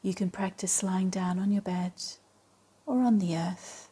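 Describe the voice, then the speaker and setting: A woman with a soft, breathy voice leading a guided meditation in a calm, measured way with long pauses. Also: medium pitch. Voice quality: soft, breathy, partly whispery. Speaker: yoga nidra meditation guide. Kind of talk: guided meditation, slow and soothing instruction.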